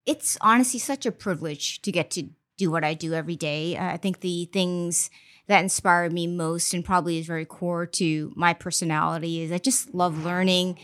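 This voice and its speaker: A woman investor giving a candid, reflective podcast interview, often pausing with ums. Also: lowish voice, fairly even intonation, a bit bright. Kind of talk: conversational interview. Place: studio interview.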